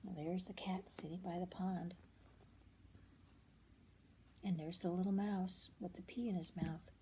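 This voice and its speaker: An older woman with a warm, relaxed tone reads a children's story aloud. She is expressive, often drops to a hush, and adds simple asides for young listeners. Faint noise sits behind her voice. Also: lowish voice, normal speed, rough recording. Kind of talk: picture-book read-aloud with asides.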